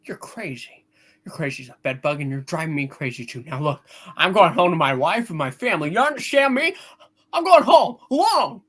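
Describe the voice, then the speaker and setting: A woman voicing a frantic, bewildered, exasperated family man, husky, in a hammed-up amateur play reading. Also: deep voice, sing-song, brisk, fairly bright tone, pitched below the performer's own voice, heavily acted.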